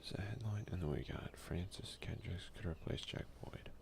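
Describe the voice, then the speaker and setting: A young man speaks softly in a low voice full of heavy vocal fry, often dropping into a whisper. He is doing an ASMR let's play, reading and commenting close to the mic, with faint noise behind him. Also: normal speed. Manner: soft-spoken, often slipping into whispers. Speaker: gaming video creator.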